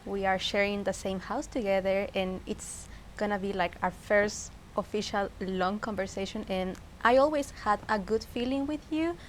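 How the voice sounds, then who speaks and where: A woman with a Peruvian Spanish accent shares personal reflections in casual conversation. Her voice sits at a middle pitch and her phrasing is searching and a little hesitant, with clear noise behind her. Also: normal speed, few pauses, low-quality recording. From Peru. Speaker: interview guest. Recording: clear background noise, ambience.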